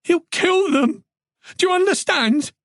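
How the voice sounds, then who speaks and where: A narrator playing a distraught policewoman, pitched high. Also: very animated, no echo, studio quality, exaggerated voice. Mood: panicked, pleading. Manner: urgent, halting, breaking off mid-sentence. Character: distraught, shaken policewoman, pleading and fiery.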